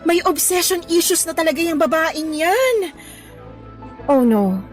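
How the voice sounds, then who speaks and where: Earnest young lady teacher, really high voice, expressive taglish radio drama, faint music behind. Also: a little slow. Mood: agitated. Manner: expressive, switches between Tagalog and English. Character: earnest young female schoolteacher in a romance. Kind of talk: Tagalog radio drama acting.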